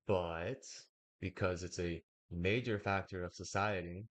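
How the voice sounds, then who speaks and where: A young man, raised speaking Bulgarian first, giving a slow, wordy commentary full of pauses as he reacts to a video. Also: sits low, steady tone, a bit bright. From United States. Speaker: reaction streamer. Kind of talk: casual commentary.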